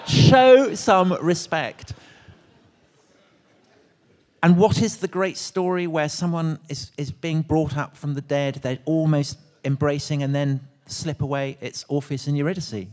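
A man co-hosting a live talk, speaking in an animated, emphatic way with mock indignation. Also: on the high side, measured pace, hardly any pauses. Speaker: podcast co-host at a live show. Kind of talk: lively live conversation.

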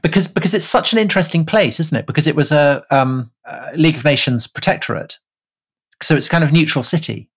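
A man co-hosting a podcast in casual chat, quick to react and enthusiastic. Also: fairly high pitch, breathy edge. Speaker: podcast co-host.

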